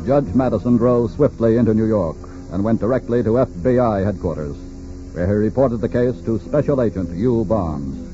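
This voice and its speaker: A man's voice: on the low side, moderate pace. A man reading scripted narration for a 1940s radio crime drama. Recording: muffled 1940s radio recording. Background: dramatic brass music.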